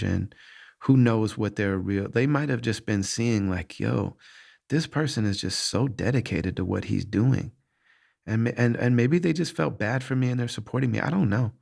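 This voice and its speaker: A man's voice: fairly low pitch, creaky, a little mellow. A middle-aged man hosting a podcast, talking candidly and earnestly about hard moments, often restarting his thoughts as he searches for words. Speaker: podcast host answering listener questions. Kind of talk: candid reflective monologue.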